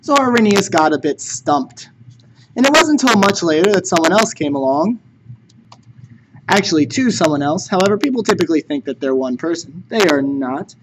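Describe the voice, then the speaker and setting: A man teaching chemistry in a recorded lecture, conversational and jokey. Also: a little high. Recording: noticeable background noise.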